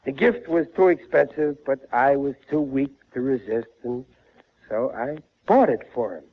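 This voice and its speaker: A man's voice: medium pitch, moderate pace, natural voice. An American man playing a gullible, blustering working-class father in 1940s radio comedy, stammering through bad grammar. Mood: scheming, blustering. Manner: ungrammatical, stammering, exasperated. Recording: muffled old-time radio broadcast.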